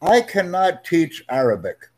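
Male tutor, slow and clear, a bit high, friendly and insistent. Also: sing-song, a little mellow. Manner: speaks slowly and clearly, friendly, emphatic repetition. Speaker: English tutor. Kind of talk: slow, clear teaching talk.

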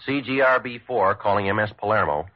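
A man's voice: fairly low pitch, fairly quick, lightly acted. A man acting a businesslike coast guard radio operator in a vintage radio drama, matter-of-fact. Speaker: actor in a radio medical drama. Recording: muffled old radio recording.

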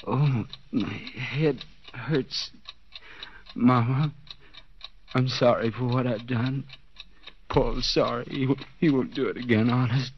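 A man's voice: mid-range voice, steady tone, takes it slowly, pauses often. An actor playing a bound, desperate man coming apart in a cellar, stammering and pleading in overwrought 1940s radio suspense style. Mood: pleading, whimpering. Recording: dull, noisy 1940s radio recording.

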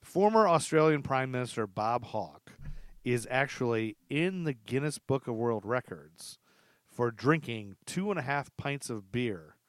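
A man with a mid-pitched voice hosts a podcast in a casual, comic storytelling style. He is chatty and amused and likes to repeat a fact for emphasis. The sound is dry and close. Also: animated, unhurried, no echo. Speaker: podcast host.

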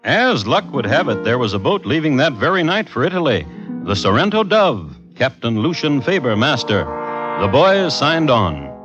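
A man hosting and narrating a 1950s radio crime series in a formal, wry, measured style. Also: medium pitch, big pitch swings. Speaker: host and narrator. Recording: dull old radio recording with music.

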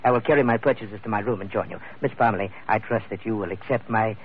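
Man playing a pompous, florid gentleman schemer, tinny old radio. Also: lowish voice, fast talker, slight background noise, lightly acted. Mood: grand, hospitable. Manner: grandiloquent, ornate formal phrasing. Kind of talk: old-time radio drama acting.

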